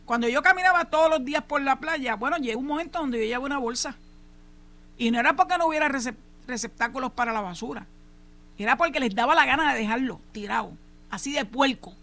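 A woman's voice: high voice, fast, bright, forceful tone. A Puerto Rican woman hosting a show, giving emphatic opinion commentary on local news and dropping in English asides. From Puerto Rico.

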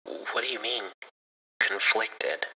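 Casting: actor for anxious loyal male friend in audio fiction; piercingly forceful, stammering, earnest, heard in long reverberation. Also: fairly low pitch, lively intonation, takes it slowly, exaggerated voice. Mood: reassuring, earnest.

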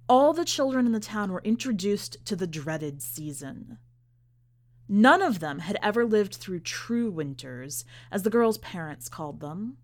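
Woman narrating a short story, medium pitch, careful phrasing w dramatic pauses. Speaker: podcast host and story reader.

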